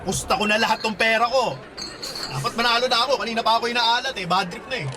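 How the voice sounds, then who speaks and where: A high, whiny Filipino slacker dad. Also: male voice, fast, background noise. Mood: frustrated. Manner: whiny, stammering excuses. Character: irresponsible, defensive young father and gambler. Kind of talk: radio drama acting. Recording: music behind the voice. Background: background music.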